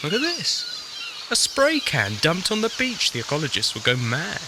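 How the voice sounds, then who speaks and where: Actor as a breezy man, wildly animated pitch. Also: moderate pace, noisy background. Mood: breezy scepticism. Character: flippant, dismissive male space traveller. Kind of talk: audio drama acting.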